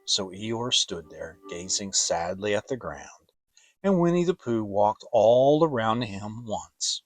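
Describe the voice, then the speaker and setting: A man narrating a children's story as a dramatic audiobook, deliberate and expressive. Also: on the low side.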